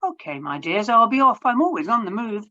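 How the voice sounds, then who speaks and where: A woman voicing a calm, kindly magical woman in a read-aloud storybook, speaking softly and coaxingly. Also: on the high side, a little fast, lo-fi sound, voice pushed up.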